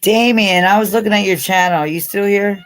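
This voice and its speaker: A woman's voice: mid-range voice, brisk. A middle-aged American woman hosting a casual live chat, rambling, laughing often and calling out to her viewers by name. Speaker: livestream host.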